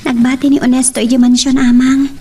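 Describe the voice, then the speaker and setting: A woman's voice: high voice, level tone, brisk, dark tone, far from the mic, close to an everyday voice. A woman playing a troubled, pleading daughter in a radio drama, slightly off the mic.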